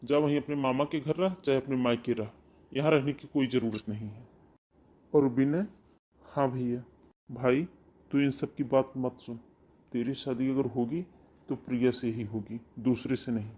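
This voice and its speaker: A man voicing a firm, fair-minded elder brother who lectures his family in a husky voice. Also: medium pitch, steady tone, normal speed, mellow, natural voice. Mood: dismissive.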